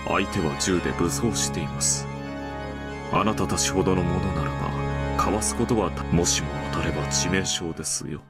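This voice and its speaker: An actor voicing a calm, courteous demon butler who delivers polite, measured warnings. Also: male voice, deep voice. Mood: cautioning. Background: game music.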